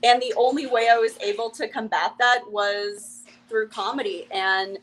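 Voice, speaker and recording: A young woman from Ukraine speaks with a Russian accent. She is a comedian guest in a relaxed conversation, wry and joking. She laughs often as she talks. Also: on the high side, moderate pace, a little hiss or hum, rough recording. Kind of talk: casual interview chat.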